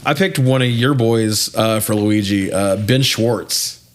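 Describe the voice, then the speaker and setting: A man's voice: lowish voice, slow pace. A man co-hosting a casual movie review chat, talking in an informal, slangy, joking way. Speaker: podcast co-host.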